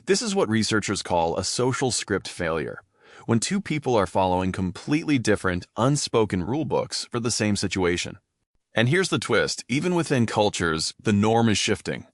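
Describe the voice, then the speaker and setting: Male podcast host, low and lively, dry close sound, scripted teaching read that defines key terms. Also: moderate pace, no echo. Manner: explains and defines vocabulary words plainly. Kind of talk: scripted educational podcast narration.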